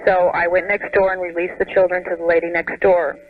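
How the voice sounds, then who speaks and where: An American woman phoning a radio show, explaining her situation in a casual, earnest, slightly halting way. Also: lowish voice, level tone. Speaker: caller, home daycare operator. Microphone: telephone. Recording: muffled phone line on radio.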